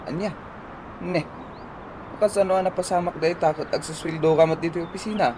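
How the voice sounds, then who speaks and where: Man acting concerned male friend, Ilocano accent, frank advice, radio drama, raised pitch, clear background noise. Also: fairly even intonation, fairly quick, natural voice. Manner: advising, inquisitive. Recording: clearly noisy audio.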